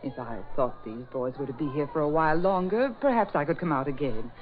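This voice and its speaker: A woman's voice: deep voice, expressive, fairly quick. An actress in a 1940s radio drama playing a glamorous, theatrical woman of fame with an effusive manner, on a dull old recording. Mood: gushing, flattered. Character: gushing, glamorous famous actress who secretly spies.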